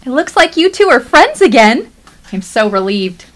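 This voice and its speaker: A chirpy, managing wife in a radio play, acted by a woman. Her voice is very high and highly animated, and she bosses people about breezily. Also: moderate pace. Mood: breezy, teasing. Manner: animated, directing. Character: bossy, chirpy wife who likes to orchestrate everyone. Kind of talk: radio theatre acting.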